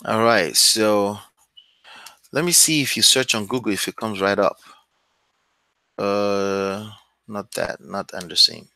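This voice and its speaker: A man's voice: lowish voice, slow, gravelly, pauses often, sharp tone, no echo. A man from Nigeria with a Nigerian English accent, hosting a casual real estate video and often checking in with "okay?". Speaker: real estate channel host. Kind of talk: casual talk to camera with screen share.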